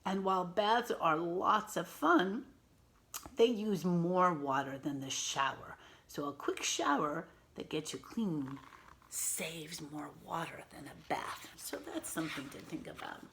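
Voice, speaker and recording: A woman teacher reading a picture book aloud to young children, pausing to explain words and ask simple questions in an instructive way. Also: fairly low pitch, animated, fairly bright tone.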